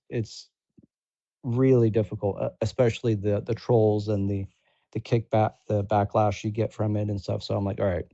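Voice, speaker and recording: Male guest, relaxed mellow tone, informal halting chat, close dry sound with muffled lo-fi quality. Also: fairly low pitch, no room sound. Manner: informal, halting, slangy with swearing. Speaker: guest, supporter and content creator. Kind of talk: casual chat.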